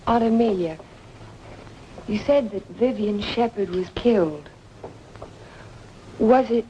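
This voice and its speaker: An actress playing a curious, politely guarded young niece in a mid-century drama, speaking with composure. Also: female voice, medium pitch, takes it slowly, warm tone, lightly acted. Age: young adult. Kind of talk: mid-century American film drama acting. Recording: dull, noisy vintage soundtrack.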